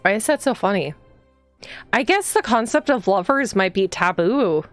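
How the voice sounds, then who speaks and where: A woman livestreaming a visual novel, reading the game text aloud and chatting casually as she thinks out loud and reacts to the story. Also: mid-range voice, lively intonation, normal speed, no room sound. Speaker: streamer playing a visual novel. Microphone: streaming microphone. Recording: background music behind the voice. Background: game music.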